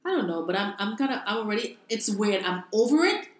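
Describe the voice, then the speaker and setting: Young woman from the Bahamas, lively interview guest, mid-pitched voice, roomy recording. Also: quick pace, crowd noise. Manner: animated, laughing, lively storytelling. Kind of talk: casual interview chat. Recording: roomy echo.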